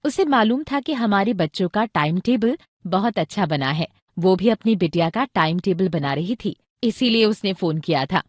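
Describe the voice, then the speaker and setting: A woman playing a practical, caring mother who explains things clearly and expressively in an educational drama. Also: medium pitch, expressive, moderate pace, few pauses, dry recording, studio quality, natural voice. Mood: earnest, instructive. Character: practical, caring Indian mother explaining parenting to her husband. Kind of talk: scripted educational audio drama dialogue.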